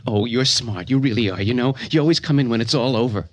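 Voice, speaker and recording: An actor playing a sly, vengeful, disturbed son in a radio drama, swinging between needling mutters and excited outbursts. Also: male voice, medium pitch, fast talker, dry recording, close to an everyday voice. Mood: scornful needling. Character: disturbed grown son arguing with his own other self, sly, vengeful.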